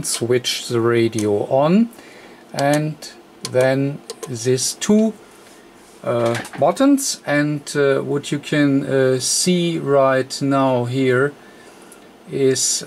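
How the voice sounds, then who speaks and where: A man who speaks as a walks through a technical repair step by step. His voice sits at an average pitch and moves very slowly, with frequent hesitations and an unscripted, halting flow. A faint layer of noise sits behind him. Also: darkish tone. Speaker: radio repair technician demonstrating. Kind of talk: unscripted hands-on repair tutorial.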